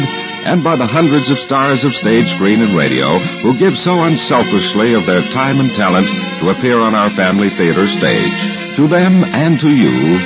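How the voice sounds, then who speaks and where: A man announcing in a formal, polished, scripted 1950s radio style. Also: fairly low pitch. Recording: dull 1950s radio recording. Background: music.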